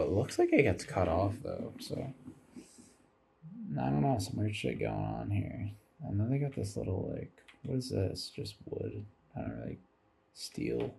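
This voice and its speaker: Tired, low-voiced man with gravelly fry. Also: mellow. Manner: musing aloud, thinking as he goes, casual swearing. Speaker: video creator commenting over game footage. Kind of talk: rambling casual commentary.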